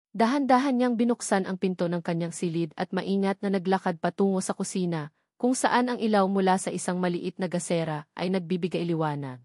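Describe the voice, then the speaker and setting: Lady reading a tearjerker story, measured and dramatic, dry audio. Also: medium pitch, a little mellow, dry recording. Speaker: narrator. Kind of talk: scripted dramatic narration.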